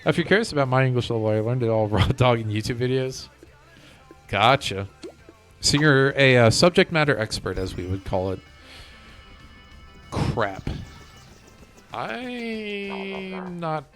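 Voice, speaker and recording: A man's voice: mid-range voice, animated, unhurried, long pauses, a bit bright. A man chatting casually as he livestreams a video game. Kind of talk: casual gaming chat. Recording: music playing behind the voice. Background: music.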